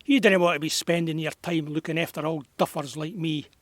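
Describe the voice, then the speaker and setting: High-pitched, grumbling old Scottish beekeeper played by an actor. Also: male voice, steady tone, brisk, no echo, close to an everyday voice. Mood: sheepish. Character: gruff, wistful old male beekeeper, stubborn and doting. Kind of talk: naturalistic audio drama dialogue.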